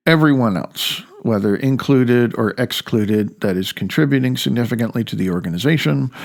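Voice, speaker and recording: Creaky-voiced man narrating dryly. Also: mid-range voice. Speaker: author reading his own audiobook. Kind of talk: audiobook narration with rambling asides.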